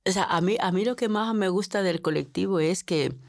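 A woman's voice: on the low side, brisk, gravelly. A middle-aged Dominican woman who organizes domestic workers, answering interview questions earnestly, often repeating words as she searches for them. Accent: Dominican Spanish. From Dominican Republic. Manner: earnest, repeats words while searching, acts out quoted dialogue.

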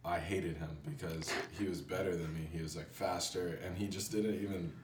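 A man chatting casually as a music producer guest, telling stories in a loose, halting way. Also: low voice, fairly even intonation. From born in Haiti. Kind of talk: casual chat. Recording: roomy, distant mic with faint background noise.

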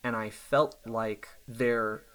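A man in his thirties, a self-employed software developer, talking casually and thoughtfully about his work, often restarting his sentences. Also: medium pitch, steady tone, takes it slowly. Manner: thoughtful, reflective, with restarts and small laughs. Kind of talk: casual podcast conversation.

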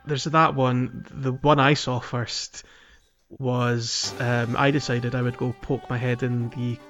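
A man's voice: mid-range voice, flat delivery, takes it slowly, a bit bright, soft background music. A man chatting casually about a video game as a podcast host, hesitant and thinking aloud, with an easy laugh.

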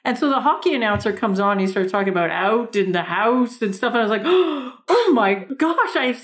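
A woman with a Canadian accent, a podcast guest who tells quick, energetic stories and laughs often. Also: fairly high pitch. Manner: energetic storyteller, laughs often, quotes people in voices. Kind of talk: podcast interview.

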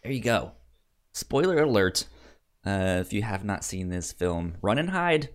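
Stammering man with an animated, swinging pitch. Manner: stammering, halting, repeating words. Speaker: podcast host. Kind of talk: casual podcast chat about a film.

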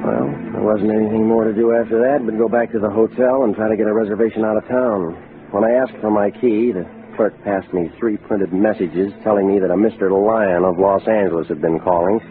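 An actor playing a wisecracking, world-weary private detective in 1940s hardboiled radio style, with clipped, deadpan, slangy delivery. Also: male voice, on the low side, a little fast, natural voice. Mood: weary, wry. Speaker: lead actor and narrator. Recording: muffled phone-like vintage radio recording, music behind.

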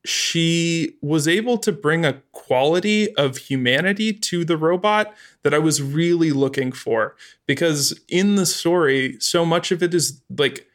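A man speaking casually and enthusiastically as an interview guest about creative work. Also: fairly high pitch. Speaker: guest, audio drama adapter.

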